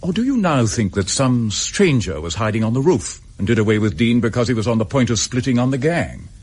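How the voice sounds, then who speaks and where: Give the radio play a dutiful English chief inspector. His voice is husky and moves freely in pitch. He talks in a clipped, businesslike way, with a frustrated edge of duty. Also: lively intonation, a little fast, a little mellow. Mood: explaining, thoughtful. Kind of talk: radio drama dialogue.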